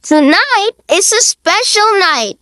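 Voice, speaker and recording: A woman's voice: extremely high pitch, big pitch swings, slow, bright, forceful tone, no echo, pitched above the performer's own voice, full character voice. A woman voicing a small, unafraid little boy in a children's storybook, chirpy and breezily teasing. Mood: breezy. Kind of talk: children's storybook read-aloud. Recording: noticeable background noise.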